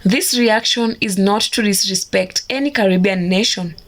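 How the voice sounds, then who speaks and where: A woman narrates a reaction video from a script, setting out her points calmly and deliberately. Her voice sits at a middle pitch. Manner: measured, explanatory. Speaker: reaction channel host.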